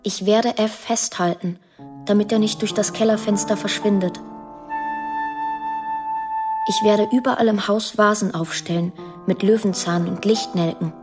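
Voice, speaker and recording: A woman playing a lonely, dreamy twelve-year-old girl in a quiet, poetic radio drama monologue. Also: mid-range voice, fairly even intonation, unhurried, long pauses, over music, natural voice.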